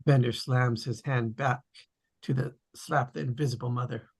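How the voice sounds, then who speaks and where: A man with a gravelly, husky voice reads stage directions as narrator of a script table read. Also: medium pitch, fairly even intonation, moderate pace.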